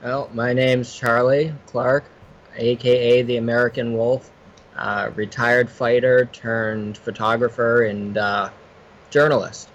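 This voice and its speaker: A man being interviewed as a podcast guest, talking casually and haltingly, often repeating words as he starts. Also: medium pitch, flat delivery, a bit bright, highs cut off, a little hiss or hum. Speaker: podcast guest, retired fighter and photographer.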